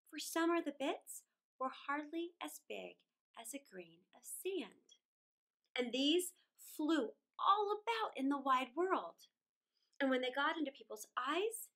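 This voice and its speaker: A middle-aged woman reading a children's story aloud in a theatrical, deliberate way, drawing out words with dramatic pauses. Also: high voice, a bit bright. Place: small indoor room against a plain wall.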